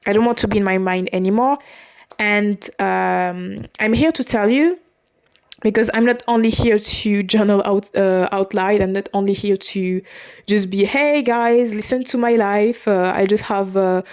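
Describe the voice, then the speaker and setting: A young woman in her twenties talks through her own story as a podcast host. English is not her first language. She speaks haltingly and often stops to fix her own words, in a plain, mid-pitched voice. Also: steady tone, darkish tone, clear, resonant tone. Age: 20s. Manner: halting, with frequent restarts and self-corrections. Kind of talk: personal confessional monologue.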